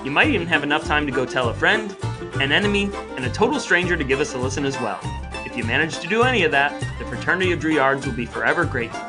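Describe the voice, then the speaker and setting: Guy podcast host, slightly high voice, wry scripted outro with music behind him. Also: expressive, fast.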